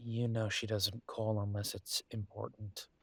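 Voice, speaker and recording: A man acting an affectionate, world-weary werewolf detective in an intimate audio drama, soft and coaxing with thoughtful pauses. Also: fairly low pitch, little pitch movement, background noise. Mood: hesitant, resigned.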